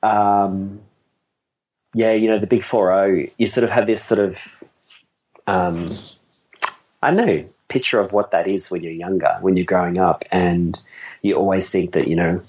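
A man's voice: low-pitched, steady tone, a little slow, pauses often, darkish tone, low-quality recording. A man in his forties, a wedding photographer, talking casually in a rambling, self-deprecating way and laughing easily. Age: 40s. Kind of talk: casual conversation.

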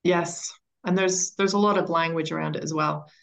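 Female expert guest, somewhat low-pitched, conversational, deliberate and explanatory delivery. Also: fairly even intonation, vocal fry. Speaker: interview guest, education author and coach.

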